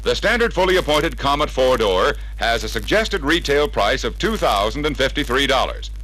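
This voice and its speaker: An American man reading a scripted 1960s promotional narration in a measured, emphatic, salesmanlike way, from an old record. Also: mid-range voice, big pitch swings, a bit bright, rough recording. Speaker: narrator of a salesman training film. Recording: vintage 33-1/3 RPM record sound.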